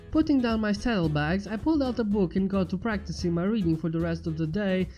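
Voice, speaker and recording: A man's voice: high-pitched, warm tone. A man reading a first-person fan fiction story aloud as scripted narration. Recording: roomy echo, reverb, music behind. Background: background music.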